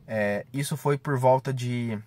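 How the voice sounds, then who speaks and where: A man's voice: mid-range voice, a little slow, a little mellow, dry recording. A man, chatting casually and slangily as a car vlogger. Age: 20s. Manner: informal, slangy, mildly profane. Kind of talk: casual vlog chat. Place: inside a parked car. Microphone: camera or phone at arm's length.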